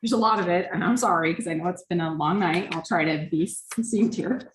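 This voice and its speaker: Soft-voiced woman reading a staff report. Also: on the low side, a little fast, slight background noise, rough recording. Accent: Midwestern American. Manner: apologetic, conversational. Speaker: city staff member giving an update.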